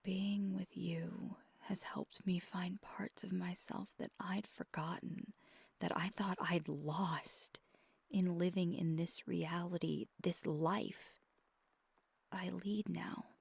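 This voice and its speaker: A woman playing a wistful, tender vampire girlfriend in an intimate ASMR roleplay, confiding in a soft, halting, breathy half-whisper close to the mic. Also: fairly low pitch, steady tone, no echo, full character voice. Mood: tender, reflective. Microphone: close microphone.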